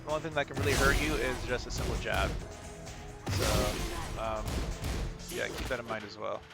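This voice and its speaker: A man with an average-pitched voice talks through a game replay as a casual coach. He thinks aloud, informal and a little hesitant, often repeating phrases. Very loud game music and fighting sounds play behind him. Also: normal speed, creaky. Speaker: gaming video creator giving match analysis. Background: loud fighting game music and combat effects.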